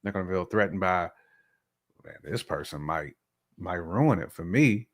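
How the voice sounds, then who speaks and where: A man's voice: low-pitched, animated, a little slow. An American man in casual podcast conversation, talking in a colloquial, slangy way with emphatic repetition.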